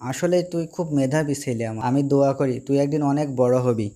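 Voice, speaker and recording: Man as cartoon fox father, kindly, loving, mid pitch. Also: fast talker, dark tone, a little hiss or hum, natural voice. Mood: proud, amazed. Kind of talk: Bengali cartoon voice acting.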